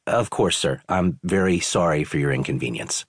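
An actor playing a weary male resort owner keeping polite under strain, speaking in a measured, courteous way. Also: deep voice, animated, husky, no echo, studio quality, exaggerated voice.

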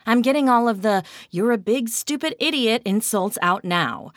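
A woman's voice: mid-range voice, very animated, normal speed, no room sound, studio quality, pitched above the performer's own voice. A woman voicing an anxious pregnant female TV producer who speaks in a placating, hesitant way.

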